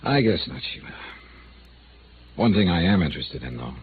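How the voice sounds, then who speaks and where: A man's voice: low-pitched, sing-song, moderate pace, a little hiss or hum. An actor playing a wry, world-weary male private investigator in 1950s radio noir style, with clipped, sardonic delivery. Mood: softened, wry. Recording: old narrow-band radio recording with music.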